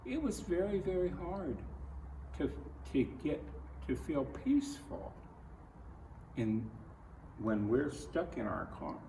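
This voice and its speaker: A man from Ohio with an American accent reads a picture book aloud to children, adding his own earnest, gentle comments in halting phrases. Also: on the high side, big pitch swings, vocal fry. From Ohio, United States. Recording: roomy echo, distant mic, faint noise.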